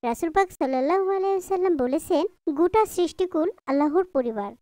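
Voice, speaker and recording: Kind, pious young-family mother for a moral cartoon. High pitch, earnest teaching delivery, dry studio sound. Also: lively intonation, quick pace, mellow, dry recording. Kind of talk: Islamic moral cartoon voice acting.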